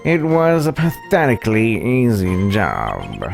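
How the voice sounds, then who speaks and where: Man putting on a slow, pompous, smug detective-thief. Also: on the low side, huge pitch swings, creaky, over music, pitched below the performer's own voice, heavily acted. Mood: condescending. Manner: grandiose, condescending. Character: pompous, smug male detective boasting of being a master thief. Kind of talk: visual novel character voice.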